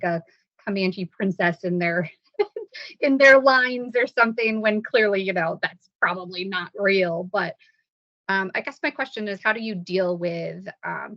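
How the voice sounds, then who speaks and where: A woman's voice: mid-range voice, lo-fi sound. A woman participant asking a question casually, with frequent "like" and "you know".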